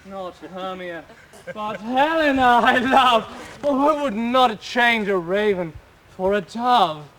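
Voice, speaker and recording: An ardent young lover in old verse, played by a man. His voice is high for a man and moves widely, taken slowly, with a faint outdoor hum. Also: animated, fairly bright tone, low-quality recording. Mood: scornful. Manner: earnest verse, breaks into laughter. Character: Lysander, young male lover, ardent and fickle. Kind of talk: Elizabethan verse drama.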